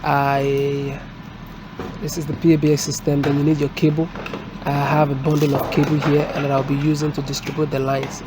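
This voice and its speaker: Halting, flat-toned man over noisy background. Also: on the high side. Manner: halting, step-by-step explaining. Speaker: technician presenting a product installation. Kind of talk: unscripted hands-on tutorial and review. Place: indoors at a desk, filmed from above. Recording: noisy room with street and vehicle sounds.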